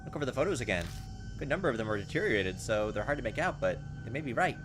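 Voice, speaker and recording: A man's voice: medium pitch, sing-song, very fast. Casual man playing a game, music behind. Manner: hesitant, thinking aloud. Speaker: gaming video host. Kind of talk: casual let's play commentary, reading visual novel text aloud.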